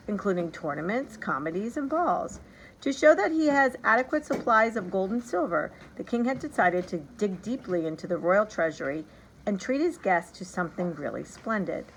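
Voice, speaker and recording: A woman's voice: mid-range voice, fairly bright tone. Woman reading a children's story, noisy background. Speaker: storybook reader. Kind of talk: animated children's read-aloud. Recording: background noise behind the voice.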